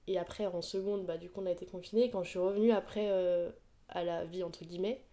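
A woman's voice: fairly low pitch, steady tone, quick pace, warm tone. A young woman of about twenty co-hosting a podcast, talking hesitantly with casual slang. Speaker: podcast co-host. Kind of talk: casual chat.

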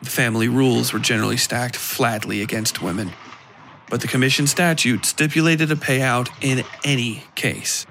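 Man narrating fiction in a gravelly voice. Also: mid-range voice, fairly even intonation, moderate pace, fairly bright tone, slight background noise. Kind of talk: scripted dark fiction narration.

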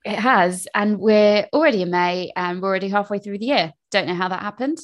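A woman's voice: medium pitch, fairly quick. A woman, co-hosting a podcast in upbeat, agreeable casual chat. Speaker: podcast co-host.

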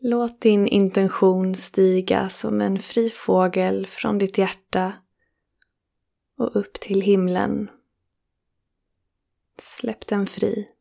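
Woman, meditation guide. Creaky, very even delivery, soothing and unhurried, with generous pauses. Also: mid-range voice. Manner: slow, soothing, with long pauses between phrases. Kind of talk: guided relaxation meditation, yoga nidra.

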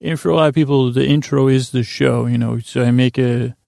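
A man hosting a bedtime podcast in a creaky, gravelly voice, rambling and meandering at a languid, halting pace to lull listeners to sleep. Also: medium pitch, fairly even intonation, mellow, dry recording, studio quality. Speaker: podcast host.

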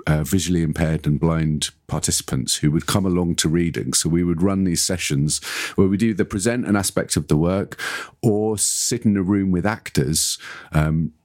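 A man's voice: low voice, moderate pace, darkish tone, no room sound, studio quality. A man in a casual, analytical podcast conversation, speaking reflectively and searching for his words as he explains. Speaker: podcast discussant, audio storyteller.

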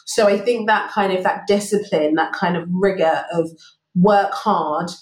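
A woman's voice: on the low side, unhurried. A woman from the UK, a guest in a podcast interview, talking reflectively with many "you know"s. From United Kingdom. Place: remote call. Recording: roomy remote recording.

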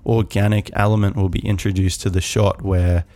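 A man hosting a podcast, musing on his own in a calm, soft voice, rambling with many fillers and restarts. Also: sits low, moderate pace, dry recording. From Australia. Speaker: podcast host. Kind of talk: casual solo musing.

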